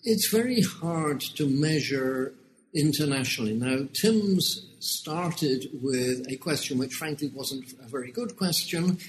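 An older man with a British accent, a guest explaining research in a measured, wry way during an interview. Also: on the high side, expressive, slight background noise, rough recording. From United Kingdom. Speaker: education researcher, guest author.

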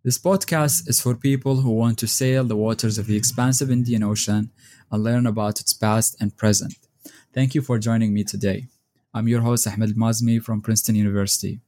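A man's voice: fairly low pitch, steady tone, moderate pace, mellow, a little hiss or hum. A man hosting a podcast, reading a formal introduction and asking interview questions. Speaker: podcast host.

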